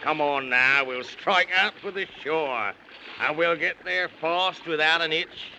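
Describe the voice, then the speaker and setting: A jolly old-salt walrus from an old children's radio serial. He talks in hearty, colloquial rhymes with a very bright, forceful and fairly high voice, on a slightly noisy vintage recording. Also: unhurried, full character voice. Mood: reassuring. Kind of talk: 1930s children's radio acting.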